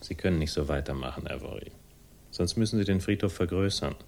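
A cool, laconic man in a 1960s radio thriller. His voice sits fairly low, and he delivers dry irony with a quiet threat underneath. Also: brisk, gravelly, slight background noise. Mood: cool, probing. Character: cool sardonic man confronting his sister's lover, quietly menacing. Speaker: first-person narrator and lead character. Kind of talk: 1960s German radio crime drama acting.

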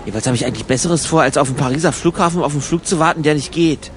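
A man's voice: fairly high pitch, racing, a little hiss or hum, close to an everyday voice. A man playing a matter-of-fact traveller who explains things eagerly in a conversational radio play. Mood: mildly exasperated.

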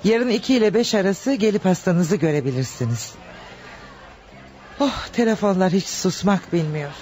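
A woman's voice: mid-range voice, lively intonation, normal speed, warm tone, lightly acted. An actress playing a courteous, busy female reception nurse in a radio play. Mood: polite, busy. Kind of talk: Turkish radio play acting.

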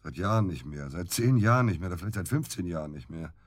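Husky, very deep-voiced bitter husband. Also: male voice, animated, quick pace. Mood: dismissive, sardonic. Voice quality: husky low voice. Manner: blunt, emphatic, repeats himself. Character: bitter, hard-drinking husband of middle age, crude and volatile. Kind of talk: naturalistic German radio drama acting.

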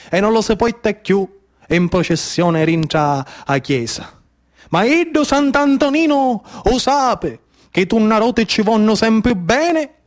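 A high-voiced man with a Sicilian accent performs a stage monologue in the manner of an oral storyteller. His delivery is rhythmic and incantatory, built on repetition and dramatic pauses. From Sicily, Trapani area. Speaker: actor and storyteller.

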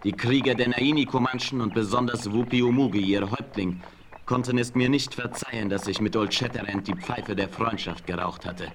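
An actor playing a proud young Comanche chief in a 1970s radio play, calm and measured. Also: male voice, mid-range voice, crowd noise, natural voice. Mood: solemn.

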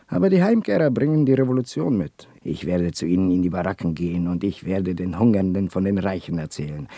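A gravelly, fiery rebel war returnee. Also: male voice, low-pitched, dark tone, no room sound, clean studio sound. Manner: declamatory, brooding, emphatic. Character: fiery rebellious war returnee, bitter agitator against the rich. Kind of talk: German radio play acting.

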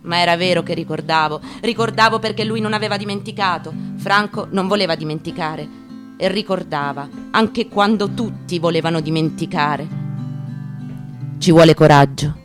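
A woman giving a deliberate, declamatory dramatic reading of a first-person memoir, in short phrases with pauses. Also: on the low side. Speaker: actress giving a staged reading. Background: acoustic guitar playing.

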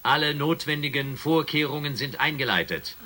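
An actor playing an authoritative space shuttle commander making clipped announcements in a German science fiction radio play. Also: male voice, on the high side, normal speed, pushed and bright. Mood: official. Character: commanding male space shuttle commander addressing his crew.

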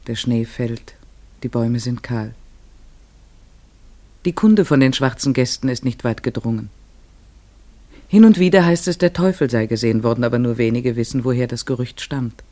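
An actor playing a gentle village schoolteacher who narrates a radio drama in a measured, reflective way. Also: male voice, mid-range voice, natural voice.

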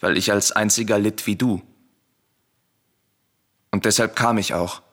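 An actor playing a secretive, protective exiled prince in a solemn radio tragedy, speaking gravely and with urgency. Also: male voice, fairly low pitch, steady tone, a little slow, a bit bright. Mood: shaken pity. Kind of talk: solemn radio drama acting of verse tragedy. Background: music.

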